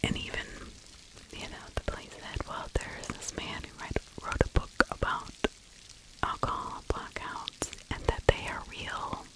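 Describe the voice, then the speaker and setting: Middle-aged female ASMR narrator, whispered throughout, unhurried, halting, close-miked. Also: low-quality recording. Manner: hushed, halting delivery with pauses. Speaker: ASMR true crime narrator. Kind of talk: whispered ASMR true crime commentary. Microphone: close microphone with fluffy windscreen.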